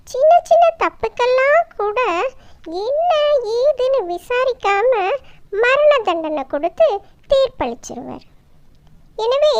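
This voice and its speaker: A very high, childlike voice tells a children's bedtime story. The delivery is slow and dramatic, with lively rises and falls, and each phrase is set down with care. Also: dry recording. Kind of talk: animated bedtime story narration.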